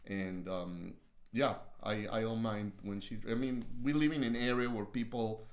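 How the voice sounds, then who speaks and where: A man's voice: on the low side, fairly even intonation, normal speed, echoey room. A man from Peru with a strong Peruvian Spanish accent, a guest chatting casually and joking boastfully on a livestream.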